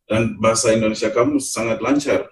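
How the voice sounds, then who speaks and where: A man's voice: lowish voice, brisk. A man hosting a casual podcast interview, giving short encouraging reactions and sometimes switching into English. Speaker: podcast host. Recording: voice distant from the microphone.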